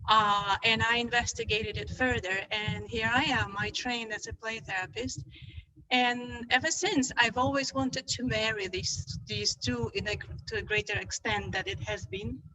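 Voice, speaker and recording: A middle-aged woman from Poland giving an enthusiastic online webinar talk, often pausing to rephrase as she goes. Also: fairly high pitch, fairly even intonation, bright, forceful tone, poor audio. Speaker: presenter, play therapist and education consultant.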